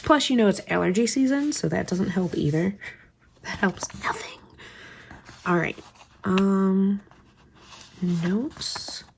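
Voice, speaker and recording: A woman's voice: mid-range voice, creaky, darkish tone, slight background noise. A woman with a slight cold and a stuffy voice, chatting casually as she plans, thinking aloud and often dropping to a whisper. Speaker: planner vlogger. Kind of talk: casual craft chat while planning.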